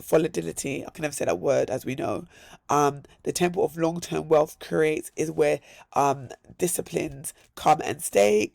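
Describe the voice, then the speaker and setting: Upbeat gravelly man. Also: fairly high pitch, a little mellow, no echo. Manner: repeats words in threes, upbeat. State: hoarse. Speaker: crypto vlogger. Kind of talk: casual screen-share walkthrough.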